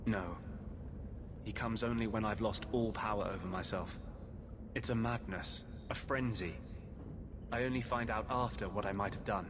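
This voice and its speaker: A low-voiced, tormented mage healer played by a male actor for a fantasy game. He speaks with brooding, earnest intensity, as a man haunted by vengeance, over clearly audible ambient noise. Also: moderate pace, pauses often, natural voice. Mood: anguished, intense. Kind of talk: dramatic video game acting.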